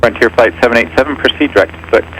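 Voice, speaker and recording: A man's voice: medium pitch, racing, fairly bright tone, low-quality recording. Male controller rattling off terse radio instructions at speed, slight background noise on the transmission. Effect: aviation radio. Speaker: air traffic controller. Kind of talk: clipped radio clearances.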